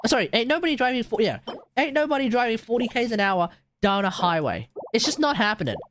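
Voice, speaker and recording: A man chatting casually and excitably on a gaming livestream, rambling and correcting himself as he goes. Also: extremely high pitch, fast talker, slight background noise. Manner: animated, rambling, exclamatory, self-correcting. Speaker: live streamer.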